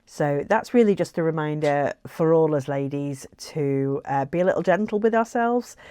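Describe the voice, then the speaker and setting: A woman's voice: sits low, expressive, normal speed, dark tone, smooth. A woman talking casually to camera as a weight-loss vlogger, chatty and reflective with frequent ums and light laughs.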